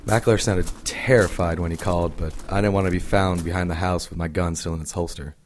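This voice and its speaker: An actor playing an earnest, cautious young police detective, giving measured first-person narration in an audio drama. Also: male voice, low voice, steady tone, slight background noise, natural voice. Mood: cautious, tense.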